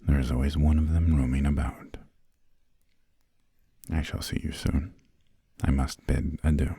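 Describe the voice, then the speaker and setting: A man playing an imperious, aristocratic vampire lord in a whispered ASMR roleplay, with formal, haughty, old-fashioned phrasing and a breathy murmur. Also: little pitch movement, a little slow, warm tone, no room sound, clean studio sound, exaggerated voice. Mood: cool, measured. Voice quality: breathy, gravelly low murmur. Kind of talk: whispered ASMR roleplay, slow scripted monologue.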